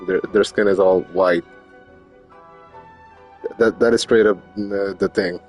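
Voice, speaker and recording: A man with a fairly low voice chats casually on a game stream. His way of talking is slangy and informal, with the odd swear word. Faint music plays in the background. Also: steady tone, a little slow, darkish tone. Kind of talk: casual livestream chat.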